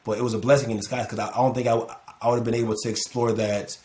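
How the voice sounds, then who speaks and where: A man's voice: mid-range voice, flat delivery, rapid-fire, a little mellow. A man in his thirties, a guest on a podcast, talking reflectively with many repeated words. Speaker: podcast guest, music producer. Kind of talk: casual interview chat.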